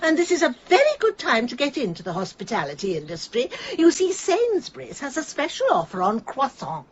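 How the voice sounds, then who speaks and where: Gushing, high-pitched village snob. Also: female voice, wildly swooping pitch, brisk, highs cut off, a little hiss or hum. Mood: gushing, smug. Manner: affected, gushing, pompous. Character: condescending, snobbish village woman neighbour, theatrical and nosy. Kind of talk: radio comedy drama acting.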